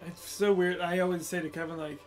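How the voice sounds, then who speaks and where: A man's voice: high-pitched, flat delivery, brisk, soft background music. A young man co-hosting a livestream, chatting in a wry, teasing and reflective way while gaming. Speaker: livestream co-host. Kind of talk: casual chat while playing a game.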